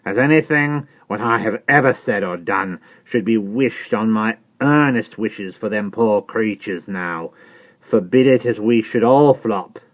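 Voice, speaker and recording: A man voicing a trembling, uneducated manservant who speaks slowly and solemnly, holding forth as if from a pulpit. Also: on the low side, lo-fi sound, voice pushed up. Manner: slow, pompous, preachy and ungrammatical.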